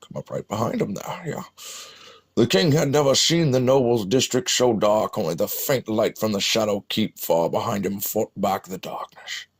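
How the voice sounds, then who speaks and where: A man doing a parody of an old Scottish film star, narrating a mock-epic fantasy battle for a video game in a husky, gravelly voice with halting, muttered asides. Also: lowish voice. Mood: wry, dramatic. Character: parody elderly Scottish screen legend narrating fantasy battle, wryly amused.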